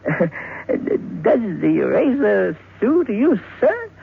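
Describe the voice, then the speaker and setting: Small sinister killer, high swooping voice, fussy politeness, radio horror drama, muffled vintage recording. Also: male voice, huge pitch swings, gravelly, breathy edge, exaggerated voice. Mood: silky menace. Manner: fussy, halting, over-polite, savouring his words. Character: menacing little French murderer, suavely polite, gleefully sinister. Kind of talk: old-time radio horror acting.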